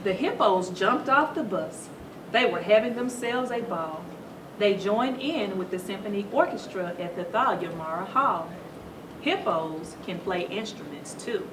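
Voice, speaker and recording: A woman reading a children's story aloud to schoolchildren in a teacherly, animated way, stopping to put questions to them. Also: medium pitch, measured pace. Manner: teacherly, asks the listeners questions. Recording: roomy echo, distant mic, noisy background.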